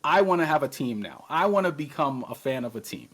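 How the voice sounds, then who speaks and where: A man in his thirties from Trinidad and Tobago, a podcast guest chatting casually and telling lively stories in which he acts out his own past words. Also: medium pitch, brisk, breathy edge.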